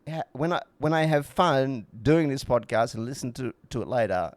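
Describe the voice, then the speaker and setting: Male podcast co-host, mid-pitched, blunt, rambling and opinionated, conversational delivery, dry recording. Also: lively intonation, moderate pace, no room sound.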